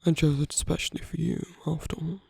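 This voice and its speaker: A man playing a suave, sly aristocratic villain in an ASMR roleplay, speaking in a soft, breathy, often near-whispered voice with languid, teasing politeness and veiled menace. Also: fairly high pitch, little pitch movement, fast talker, dry recording, full character voice. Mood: teasing, seductive. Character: suave sly aristocratic villain, flirting and secretly scheming at a masquerade. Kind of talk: ASMR roleplay, intimate scripted acting.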